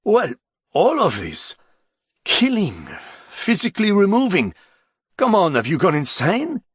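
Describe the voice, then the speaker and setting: Man playing anxious stammering comrade, husky, higher-pitched. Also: wildly swooping pitch, a little slow, dry recording, studio quality, exaggerated voice. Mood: appalled. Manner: slightly stammering, pleading. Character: anxious, soft-hearted man protesting to his comrades.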